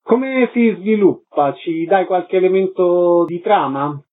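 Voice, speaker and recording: A man's voice: sits high, takes it slowly. A man working as a radio interviewer, putting short questions to a guest. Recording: lo-fi radio sound, faint noise.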